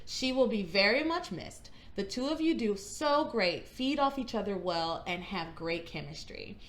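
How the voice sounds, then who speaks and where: A woman's voice: animated, measured pace, fairly bright tone. A woman co-hosting a podcast, chatting casually and reading listener emails aloud. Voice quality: high singing range. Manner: reads emails aloud, breaks into giggles. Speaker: podcast co-host.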